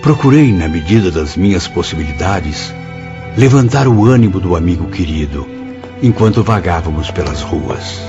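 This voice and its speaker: Reflective spirit narrator, deep, literary, radio drama, dull recording, loud music. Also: male voice, lively intonation, normal speed. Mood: solemn, reflective. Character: reflective male spirit recalling his story in first person. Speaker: first-person narrator.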